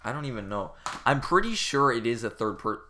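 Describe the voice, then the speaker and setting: A man's voice: mid-range voice, very animated, a little fast, sharp tone, slight background noise. A man giving a casual comedic rant as a game reviewer, rambling and exasperated, with informal, profane speech.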